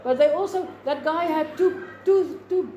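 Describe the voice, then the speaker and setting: South african lady teaching class, high voice, lots of ums and restarts, echoey room w/ a bit of hiss. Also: unhurried, mellow, dull audio. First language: Afrikaans. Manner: rambling, with many restarts and fillers. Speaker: lecturer. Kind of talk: informal classroom lecture. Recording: echoey room with faint noise.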